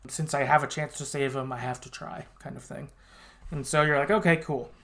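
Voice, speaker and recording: A man's voice: medium pitch, fairly even intonation, brisk, creaky, fairly bright tone. A man hosting a podcast, chattily recapping a story in informal, sometimes sweary language with loose colloquial asides. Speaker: podcast host. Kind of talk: casual chatty retelling of a game's story.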